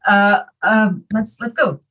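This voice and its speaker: A woman's voice: mid-range voice, fairly even intonation, takes it slowly, fairly bright tone. A woman chairing an academic panel, introducing a speaker with many hesitations. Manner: hesitant, many fillers and restarts.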